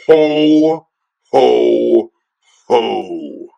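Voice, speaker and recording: Killer Santa acted by a man: very slow, gravelly, menacing radio-play villain. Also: mid-range voice, lively intonation, dark tone, highs cut off, full character voice. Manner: slow, deliberate, threatening. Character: menacing, murderous Santa Claus, large and cruel.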